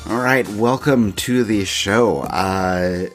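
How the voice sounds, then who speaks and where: A man's voice: on the low side, slow, music in the background. A man hosting a podcast, talking casually on his own with a congested, stuffed-up voice. He speaks in an easygoing, chatty way with filler words and light laughs. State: congested with a cough. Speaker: podcast host. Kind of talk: casual solo podcast talk.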